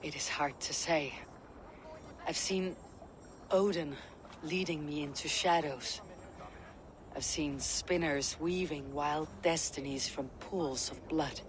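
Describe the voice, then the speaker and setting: A man playing a loyal, grave Viking warrior in a video game, measured and earnest in speech. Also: a little high, fairly even intonation. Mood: troubled, earnest. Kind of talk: dramatic video game cutscene acting. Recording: loud sea and wind noise behind.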